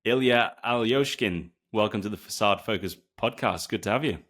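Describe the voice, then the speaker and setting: A man's voice: fairly low pitch, moderate pace, fairly bright tone, faint music behind. A man hosting a podcast and interviewing his guest in a casual, conversational way. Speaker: podcast host.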